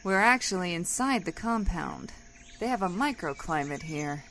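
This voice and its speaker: A woman's voice: on the low side, expressive, normal speed, fairly bright tone. A woman playing a zealous, exasperated female squad leader in a comedic audio drama, with a commanding, impatient manner. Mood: gung-ho.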